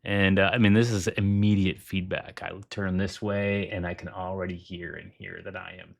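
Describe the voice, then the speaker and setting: A man's voice: sits low, little pitch movement, moderate pace, gravelly. Enthusiastic man demoing headphones. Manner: enthusiastic, repeats points for emphasis. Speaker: tech reviewer. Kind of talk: product demo.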